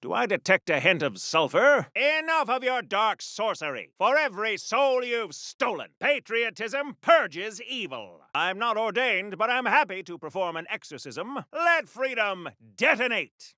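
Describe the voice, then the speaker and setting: An actor playing a wholesome, pompous patriotic superhero in a video game, with an American accent and the earnest, declamatory delivery of 1940s radio serials. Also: male voice, high voice, big pitch swings, a little slow, hardly any pauses, bright, forceful tone, dry recording, studio quality, full character voice. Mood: righteous, defiant. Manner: declamatory, earnest, exclamatory, old-fashioned American announcer delivery. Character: golden age patriotic superhero, wholesome, pompous, righteous man.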